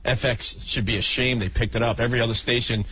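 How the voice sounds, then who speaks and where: Male talk radio host, aggrieved banter, medium pitch, faint tape noise. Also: fairly even intonation, fast talker. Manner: mocking, aggrieved. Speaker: radio show host taking calls. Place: radio studio. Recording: dull, noisy old radio tape.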